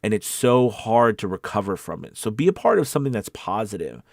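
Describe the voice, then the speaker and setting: A man's voice: fairly low pitch, sing-song, a little fast, creaky, no echo, clean studio sound. An American man hosting a casual livestream as a voice-over coach, chatting with viewers in an upbeat, encouraging, informal way and often repeating phrases for emphasis. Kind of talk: casual livestream chat, reading and answering viewer comments.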